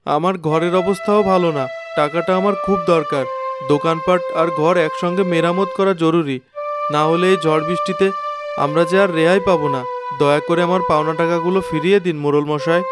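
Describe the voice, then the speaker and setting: Man as poor village father, high voice, earnest, pleading, cartoon storytelling, background music. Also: fairly even intonation, brisk, close to an everyday voice. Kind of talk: cartoon moral story voice acting. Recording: music behind the voice.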